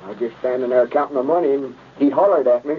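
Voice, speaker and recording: A man's voice: medium pitch, fast talker, close to an everyday voice. Man as good-natured dim country lad, hesitant, rural Southern drawl, old radio comedy, tinny noisy recording. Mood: earnest, simple. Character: slow-witted, good-natured young country fellow. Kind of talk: 1930s radio comedy acting.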